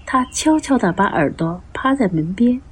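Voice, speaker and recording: A woman's voice: medium pitch, sing-song, normal speed, husky. A woman narrating a radio drama from a script as its storyteller.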